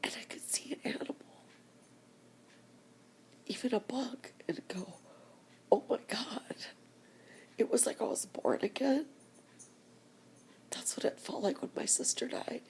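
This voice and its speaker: Whispering older woman, tearful and slow. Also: fairly high pitch, darkish tone. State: crying. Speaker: vlogger. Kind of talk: tearful personal vlog.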